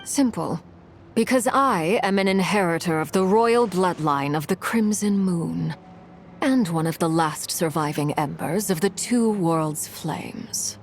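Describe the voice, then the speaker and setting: A cold, composed noblewoman commander from a video game. She speaks formally and with controlled restraint, her voice set a little lower than most women's. A faint noise sits beneath her lines. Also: measured pace.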